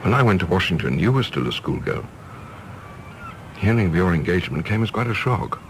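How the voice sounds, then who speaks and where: Middle-aged English diplomat, earnest and rueful, low creaky voice, formal radio drama delivery. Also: male voice, sing-song, a little fast, rough recording. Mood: reserved, annoyed. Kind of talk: radio play acting. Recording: faint background noise and ambience.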